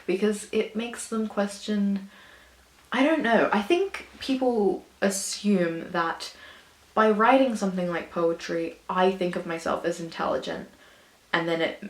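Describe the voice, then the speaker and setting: A woman's voice: mid-range voice, measured pace. A woman with an Australian accent, talking to camera in a rambling, hesitant, self-conscious way, full of fillers and second thoughts. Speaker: vlogger. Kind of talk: reflective personal monologue. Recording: echoey room.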